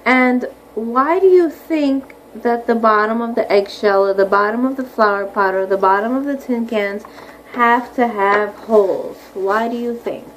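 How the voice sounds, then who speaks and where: A woman with a somewhat high voice gives a read-aloud lesson for young children over some background noise. She explains things simply, like a primary teacher, and keeps checking in with questions. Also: a little slow, rough recording. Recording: clearly audible background noise.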